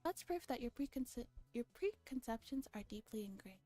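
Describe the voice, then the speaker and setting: A woman's voice: on the high side, lively intonation, moderate pace, warm tone, soft background music. A woman voicing a condescending, self-assured internet theorist in a visual novel, with a lecturing manner. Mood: patient condescension.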